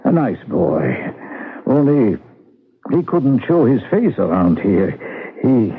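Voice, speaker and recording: Over a thin, narrow-band radio broadcast comes an anxious, secretive fellow guarding a family's past. His husky voice swings widely, halting and pleading in old radio mystery fashion. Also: mid-range voice, very animated, full character voice. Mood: wistful. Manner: pleading, halting, wistful storytelling. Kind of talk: 1970s radio mystery acting.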